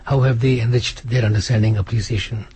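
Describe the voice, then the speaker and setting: Fast-talking man with a dark, husky voice. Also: mid-range voice. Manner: fast, animated, restarts often. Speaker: interview guest, author. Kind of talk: casual radio interview. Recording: radio studio.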